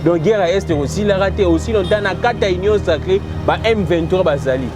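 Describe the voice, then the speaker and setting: A high-pitched man with a Congolese French accent delivers outspoken political commentary in an emphatic, forthright way. He slips between two languages as he makes his points. Music plays clearly in the background. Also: fairly quick. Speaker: guest commentator.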